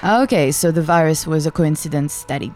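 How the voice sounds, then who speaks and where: A woman with a slightly low voice co-hosts a casual chat. She chimes in with brief questions and repeats back answers, recorded with faint ambient noise behind her. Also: fairly quick, darkish tone. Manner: short follow-up questions, echoing the guest. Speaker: co-host.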